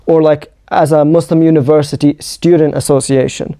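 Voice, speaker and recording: Young man, mellow voice, dry sound. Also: fairly high pitch, level tone, normal speed, creaky, no room sound. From New Zealand. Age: 20s. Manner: reflective, laughs lightly, acts out his own thoughts. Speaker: guest, university student. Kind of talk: casual interview.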